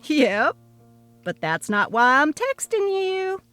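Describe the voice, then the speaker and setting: Woman acting a sassy, high-pitched gossipy office coworker. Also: very animated, takes it slowly, bright, forceful tone, no echo, faint music behind, full character voice. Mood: gleeful, gossipy. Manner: sassy, emphatic. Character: sassy, gossip-hungry female office coworker, indignant and emphatic. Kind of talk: dramatic reading of a text-message story.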